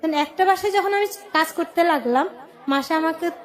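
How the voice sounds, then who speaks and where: High-pitched Bangladeshi woman telling her story. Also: moderate pace. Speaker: guest telling life story. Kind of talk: personal life-story storytelling on radio.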